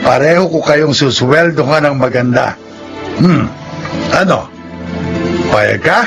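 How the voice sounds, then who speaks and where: Gravelly, authoritative resort boss. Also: male voice, a little high, takes it slowly, over loud music. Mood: genial, persuasive. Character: generous but stern male resort owner and boss. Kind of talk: radio drama acting. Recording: faint background noise.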